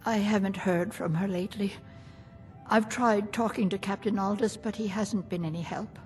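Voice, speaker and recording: A woman's voice: mid-range voice, fairly even intonation, normal speed, faint music behind, natural voice. A woman playing a courteous, worried motherly herb shop keeper in a fantasy game. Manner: courteous, gentle, hopeful.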